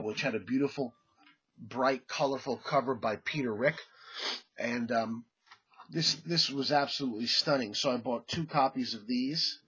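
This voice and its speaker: A man's voice: mid-range voice, a bit bright, slightly rough, lo-fi sound. A man with a cold, chatting casually as he shows off comics he bought, with lots of fillers and restarts. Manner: rambling, many fillers and restarts. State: congested, under the weather. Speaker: comic collecting vlogger. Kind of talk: casual show-and-tell haul video.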